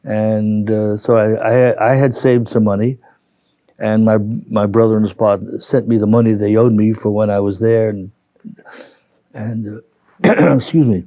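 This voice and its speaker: Older guy w brooklyn accent telling old memories in an interview, lots of halting, mellow voice, kinda muffled. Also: fairly low pitch, steady tone, dry recording. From New York, United States. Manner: halting, rambling recollection. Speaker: interviewee, retiree. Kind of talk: reminiscing in an oral history interview.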